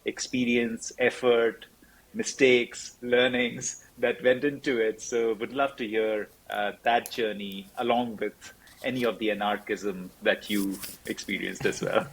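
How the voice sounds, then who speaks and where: A man's voice: lowish voice, little pitch movement, a little slow, husky, rough recording. A man hosting a podcast interview, rambling and repeating words as he works his way toward his question. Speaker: podcast host.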